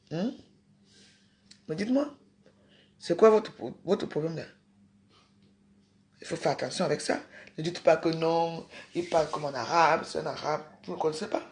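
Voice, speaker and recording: A woman's voice: low voice, darkish tone. A woman hosting a casual livestream, talking emphatically to her viewers, repeating herself and scolding them. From Cameroon. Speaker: livestream host.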